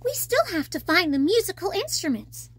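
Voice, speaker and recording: Eager, headstrong mermaid princess, played by a woman, high and very animated, storybook performance. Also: moderate pace, full character voice. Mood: excited. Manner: excited, headstrong. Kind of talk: children's storybook read-aloud.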